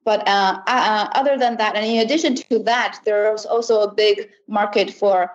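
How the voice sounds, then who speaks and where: A woman with a Chinese accent answers interview questions in a mid-pitched voice. She speaks haltingly, often searching for the next word. The recording is a bit dull and lo-fi. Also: steady tone. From China. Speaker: education accelerator representative, interview guest.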